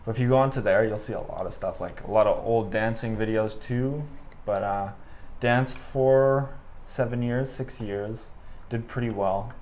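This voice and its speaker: A young man from Canada talks in a low, easygoing voice. He rambles and restarts, and fills his answers with "like" and "uh". A faint hum of the room sits behind him. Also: normal speed. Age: 20s. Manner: relaxed, rambling, lots of fillers and restarts. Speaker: guest, amateur boxer. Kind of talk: casual interview conversation.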